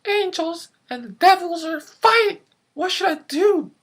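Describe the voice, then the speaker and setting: A performer voicing a small, eager and anxious hero agent in a squeaky, excitable puppet-show voice. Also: unhurried, full character voice. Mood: alarmed, eager. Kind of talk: amateur puppet show acting.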